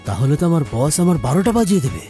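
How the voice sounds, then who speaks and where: Highish, animated flustered cartoon dad. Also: male voice, fast, soft background music. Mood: worried, annoyed. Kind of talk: children's cartoon dubbing.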